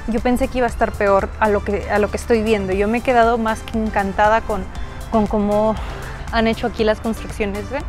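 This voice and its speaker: Woman from mexico doing a casual vlog tour, highish voice, slangy and chatty, music behind her. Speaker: travel vlogger and host. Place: mostly outdoors, walking around an accommodation village.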